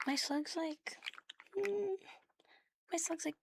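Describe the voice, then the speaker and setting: A woman hosting a live ASMR stream, chatting casually and playfully in a soft, breathy voice that often slips into a whisper. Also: extremely high pitch, slow, a little hiss or hum. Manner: playful, giggly, teasing. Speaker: ASMR livestream host.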